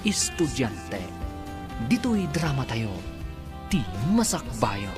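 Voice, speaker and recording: Man, Filipino accent, radio drama announcer, scripted narration, very lively intonation, prominent music bed. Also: medium pitch, takes it slowly.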